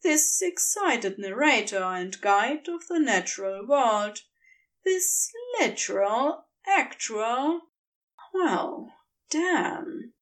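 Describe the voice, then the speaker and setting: Expressive, high-voiced woman narrator. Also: drawn-out words. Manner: expressive, dramatic storytelling. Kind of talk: scripted fiction narration.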